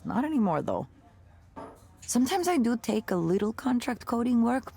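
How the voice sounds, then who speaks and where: A woman's voice: lowish voice, gravelly, warm tone, full character voice. A woman voicing an upbeat, earnest musician in a visual novel, speaking thoughtfully and conversationally. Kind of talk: natural visual novel voice acting.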